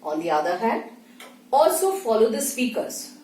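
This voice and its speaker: A woman's voice: fairly high pitch, very animated, normal speed, darkish tone, slight background noise. A woman with an Indian accent teaching in an emphatic, instructive manner, often posing questions and answering them herself. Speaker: voice and speech teacher. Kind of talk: spoken tutorial with acted examples. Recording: echoey room, distant microphone.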